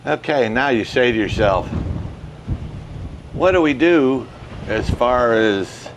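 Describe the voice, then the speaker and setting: An older man in his sixties giving a casual step-by-step tutorial outdoors as host, with halting, deliberate delivery. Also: medium pitch, lively intonation, background noise. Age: 60s. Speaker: host, ham radio hobbyist. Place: outdoors, residential backyard and covered patio.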